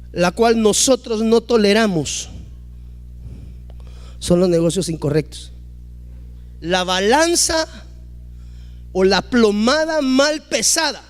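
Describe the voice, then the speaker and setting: Pastor guy preaching hard, really high voice, builds up loud. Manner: emphatic, rising to loud exclamations, acting out quoted dialogue. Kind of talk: preaching a sermon.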